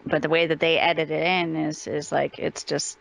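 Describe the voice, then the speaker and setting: Low, gravelly-voiced woman chatting. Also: level tone, normal speed. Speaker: voice actress, interview guest. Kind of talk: casual interview chat. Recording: slightly dull lo-fi call audio.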